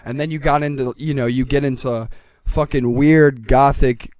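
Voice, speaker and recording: A man's voice: mid-range voice, steady tone, normal speed, a little mellow, no echo, studio quality. A young man chatting casually and emphatically as a podcast host, with lots of slang, swearing and "dude". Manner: emphatic, enthusiastic, peppers talk with "dude".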